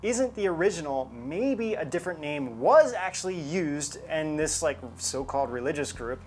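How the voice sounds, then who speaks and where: A woman's voice: sits low, lively intonation, moderate pace, a little mellow, a little hiss or hum. A woman co-hosting a UFO show, explaining things in conversational commentary. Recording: music behind the voice. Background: background music.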